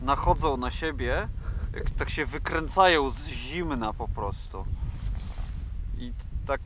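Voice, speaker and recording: A man's voice: mid-range voice, slow, a bit bright. A man chatting casually and jokingly outdoors as an expedition cyclist, recorded on a camera in the open. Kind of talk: casual, joking travel vlog chat. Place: outdoors on a snowy frozen lake. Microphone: camera a few metres away. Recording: noisy windy outdoor camera audio.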